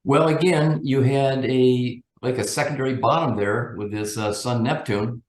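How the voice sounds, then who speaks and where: A man giving unscripted market commentary as a guest analyst, thinking aloud in a measured, cautious way. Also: mid-range voice, echoey room, rough recording.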